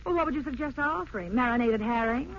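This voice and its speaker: A woman's voice: a little high, animated, fast. An actress playing a strained young wife and mother in a vintage radio drama, with a proper, emotive delivery. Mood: weary, irritated. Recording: muffled old radio broadcast, faint noise.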